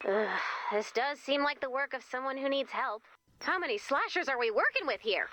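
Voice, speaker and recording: High, lively, quippy game heroine. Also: female voice, normal speed, no room sound. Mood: wry, perky. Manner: chatty, sarcastic, expressive. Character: young, perky, quippy female camp leader, wryly exasperated. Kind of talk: video game character voice lines.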